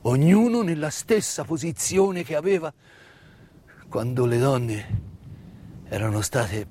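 A man performing a dramatic theatrical monologue, intense and emphatic, with repeated words and pauses. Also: medium pitch, expressive, mellow. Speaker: stage actor-narrator. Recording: live stage performance with music. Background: live music.

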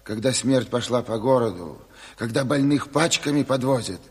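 A man's voice: medium pitch, normal speed, natural voice. An actor playing a blunt, commanding revolutionary committee chairman, brusque and businesslike, in a Soviet radio play. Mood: angry, firm. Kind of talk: 1970s Soviet radio drama acting. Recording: reverberant hall, old radio play recording.

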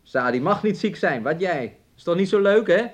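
A man's voice: high voice, lively intonation, normal speed. An actor in a Dutch radio play playing a controlling, possessive man who coaxes and needles with repeated questions. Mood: coaxing, controlling. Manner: coaxing, needling, repetitive questions, refers to himself in third person. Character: possessive, controlling man keeping his sick fiancée hidden away.

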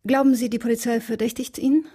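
A mellow, husky-voiced wife in a radio crime drama. She is cool and devoted, speaking with earnest, controlled intensity. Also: a little high, steady tone, fast talker. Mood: earnest, intense.

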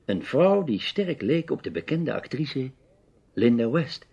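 An actor playing a serious, probing male investigator, measured and insistent, in an old Dutch radio play with a dull sound. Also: medium pitch, big pitch swings, gravelly, dark tone, husky, full character voice. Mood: explaining. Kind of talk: radio drama acting in Dutch. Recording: dull, band-limited old radio recording.

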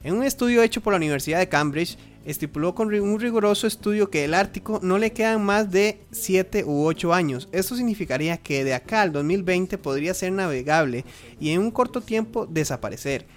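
A man hosting a radio show with informal news commentary, earnest and emphatic, in halting phrases. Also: high voice, faint music behind.

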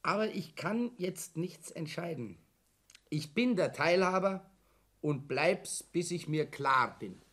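An actor playing a hesitant young man in a radio play, appeasing but quietly stubborn. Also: on the high side, lively intonation, slow, full character voice. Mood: defensive, stubborn. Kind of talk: 1960s German radio play acting.

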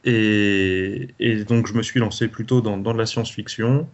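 A male interview guest with a slightly low voice chats casually. He is polite and hesitant, stopping often mid-sentence, and the sound is a little dull. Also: fairly even intonation, moderate pace. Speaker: interview guest, amateur novelist.

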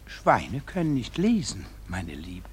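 An actor playing a meek husband who hides murderous hatred behind falsely sweet politeness in a literary radio drama. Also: male voice, lowish voice, wildly swooping pitch, takes it slowly, slightly rough, exaggerated voice. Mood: slyly polite.

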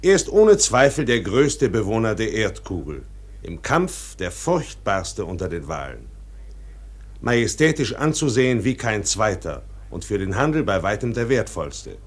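A man's voice: fairly low pitch, very animated, a little slow, slightly rough, full character voice. An actor playing a learned, pedantic man reciting a grand treatise in a radio play, declamatory and precise. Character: learned, pedantic male lecturer reading a grand whale treatise.

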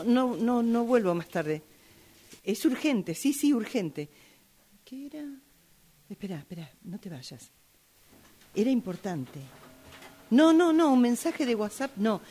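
A woman's voice: a little high, darkish tone. An Argentine woman acting out a dialogue exercise in a radio drama workshop.

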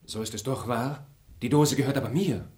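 An actor playing a dignified young male traveller who speaks in a calm, measured, courteous way. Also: medium pitch, close to an everyday voice. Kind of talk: radio play acting.

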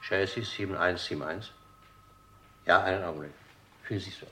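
An actor playing an older, formal manservant in a German radio crime drama, deferential and deliberate, with a husky, gravelly voice. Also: male voice, low-pitched, very noisy, lightly acted. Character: dutiful, formal old-school manservant of a murdered gentleman.

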